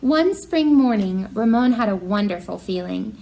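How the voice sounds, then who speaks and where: A woman teacher with an expressive, encouraging manner, reading a picture book aloud and talking directly to young pupils. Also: mid-range voice, moderate pace, poor audio.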